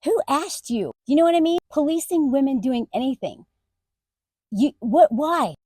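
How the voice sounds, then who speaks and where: A woman content creator ranting straight to camera, emphatic, her voice jumping up high on indignant questions. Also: animated, normal speed, dark tone, dry recording, clean studio sound. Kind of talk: direct-to-camera rant.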